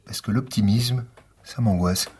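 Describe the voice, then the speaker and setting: An actor playing a weary, sardonic police lieutenant with dry, deadpan delivery in a naturalistic fiction podcast. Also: male voice, fairly low pitch, a little slow, husky. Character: weary sardonic male police lieutenant, coffee-fuelled pessimist.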